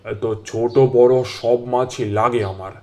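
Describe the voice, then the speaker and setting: A man playing a genial village gentleman and fish trader in a cartoon, chatty and a little patronising. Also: mid-range voice, steady tone, moderate pace, a little hiss or hum, lightly acted. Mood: businesslike. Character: genial village gentleman, a fish-depot owner and ferry passenger. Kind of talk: Bengali moral-tale cartoon dubbing.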